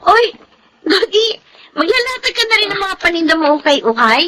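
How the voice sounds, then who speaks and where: A woman's voice: extremely high pitch, very animated, moderate pace, sharp tone, a little hiss or hum, full character voice. A woman playing an earnest young granddaughter who cares anxiously for her grandmother in a melodrama. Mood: confiding. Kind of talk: Tagalog radio-style melodrama acting.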